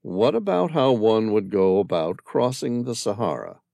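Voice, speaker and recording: A man's voice: lowish voice, very animated, normal speed, vocal fry, warm tone, no room sound, exaggerated voice. A man reading aloud as a curious male stranger who keeps asking pointed questions. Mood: probing. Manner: inquisitive, persistent questioning.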